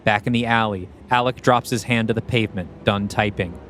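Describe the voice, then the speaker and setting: A man's voice: on the low side, fairly even intonation, brisk, a bit bright, a little hiss or hum. A man reading scripted screenplay action as narration for a podcast.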